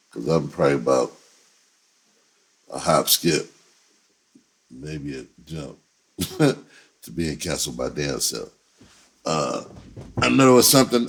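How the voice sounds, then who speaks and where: Low-voiced American man, blunt and profane. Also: slightly rough. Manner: profane, blunt, unfiltered street slang. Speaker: comedian and rapper. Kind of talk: casual spoken monologue, candid opinion.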